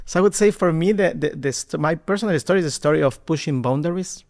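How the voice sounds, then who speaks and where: Animated Ecuadorian-born man telling his story. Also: fairly high pitch, fast talker. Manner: warm and animated, repeats words when starting. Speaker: podcast guest, marketing executive. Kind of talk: conversational storytelling.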